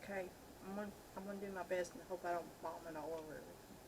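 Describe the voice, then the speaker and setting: A woman's voice: fairly low pitch, fairly even intonation, racing, noisy background. A woman in casual, joking and crude banter, chatting as co-host of an amateur video. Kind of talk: casual chat.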